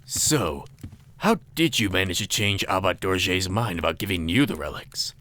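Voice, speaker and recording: A man playing a stern, dry-witted, easily exasperated middle-aged official in an animated fantasy story. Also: sits low, moderate pace, slight background noise. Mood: dry, thoughtful. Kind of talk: animated fantasy visual novel voice acting.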